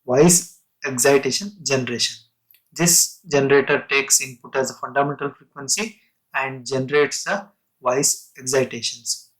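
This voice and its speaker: A man lecturing on technical material in a halting, explanatory way, breaking sentences into short phrases and restating terms. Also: medium pitch, fairly even intonation, a bit bright, rough recording.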